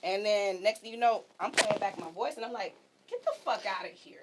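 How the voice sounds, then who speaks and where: A woman who works in A&R, chatting casually as an animated, slangy storyteller. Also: medium pitch, brisk, heavy background noise. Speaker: A&R, guest. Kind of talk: casual chat.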